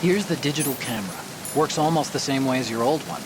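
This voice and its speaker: An actor playing an earnest, self-assured tech expert in a video game, explaining precisely. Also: male voice, medium pitch, fast, close to an everyday voice. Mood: explanatory. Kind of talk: video game cutscene acting. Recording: heavy noise of rain and vehicles.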